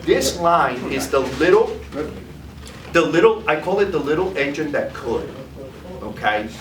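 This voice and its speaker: A man's voice: a little high, lively intonation, a little slow. A man giving a live product demo as a sales presenter, often tagging his points with "okay?". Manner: tags lines with "okay?". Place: food trade demo room. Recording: echoey room, mic far off, noisy.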